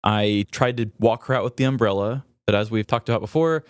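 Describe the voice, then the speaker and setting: A man's voice: fairly low pitch, brisk, smooth, dry recording. A man hosting a podcast, telling candid, self-mocking stories in a casual comedic way on a close microphone. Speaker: podcast host.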